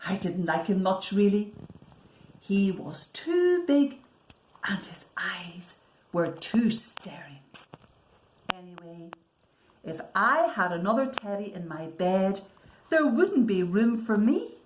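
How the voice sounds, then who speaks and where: Storybook young girl played by a woman: caring big sister, gentle, plain-spoken, slow delivery, roomy sound. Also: mid-range voice, very animated. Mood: matter-of-fact.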